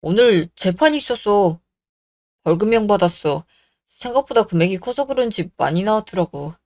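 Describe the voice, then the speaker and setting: A woman's voice: mid-range voice, animated, moderate pace, darkish tone, dry recording, close to an everyday voice. A woman voicing a whiny, self-pitying young man in a radio drama, pleading and blaming. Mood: resentful.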